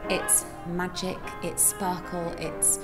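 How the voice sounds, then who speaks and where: Lower-voiced expressive woman in interview. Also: slow, loud music behind the voice. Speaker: pantomime actor playing villains. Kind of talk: interview, personal reflection.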